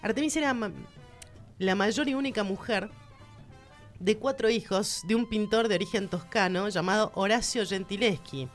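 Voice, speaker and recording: A woman's voice: medium pitch, moderate pace, faint music behind. Chatty Rioplatense woman explaining art history. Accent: Rioplatense Spanish. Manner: chatty, emphatic, addresses the listener directly. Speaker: culture segment host.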